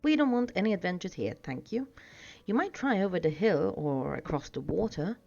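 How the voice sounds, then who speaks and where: A woman voicing a fussy, polite and excitable male hobbit homebody in a storybook reading. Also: on the low side, moderate pace, creaky, exaggerated voice. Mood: cross, polite. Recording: dull sound with music behind.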